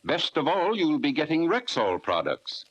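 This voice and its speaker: An actor playing a friendly family druggist pitching bargains in a 1940s radio commercial. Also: male voice, wildly swooping pitch, moderate pace, a bit bright, husky, dull audio, heavily acted. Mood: persuasive. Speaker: commercial spokesman.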